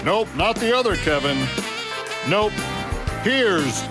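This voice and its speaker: High-pitched male show announcer over loud music. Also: expressive, takes it slowly. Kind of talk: scripted late-night show introduction.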